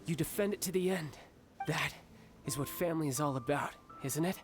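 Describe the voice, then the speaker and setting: A man's voice: fairly high pitch, fairly quick, natural voice. A man voicing a cocky young fighter and playful, protective big brother in a video game, with a teasing, theatrical delivery. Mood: confident, earnest. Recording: game music under the voice.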